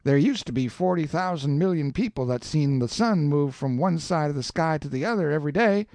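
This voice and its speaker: A man voicing a calm, superior know-it-all boy who lectures in rustic dialect. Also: a little high, brisk, no echo.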